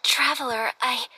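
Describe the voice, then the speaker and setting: A young woman playing a polite, refined and dutiful noblewoman in a video game, soft-spoken and a little hesitant. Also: sits high, a little hiss or hum, exaggerated voice. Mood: nervous, shy. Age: young adult. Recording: background music over game dialogue.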